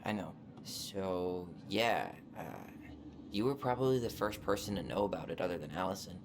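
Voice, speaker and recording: A man's voice: low-pitched, moderate pace. Laid-back, dry young guy, noisy capture. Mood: low-key, hesitant. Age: young adult. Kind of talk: naturalistic video game dialogue acting.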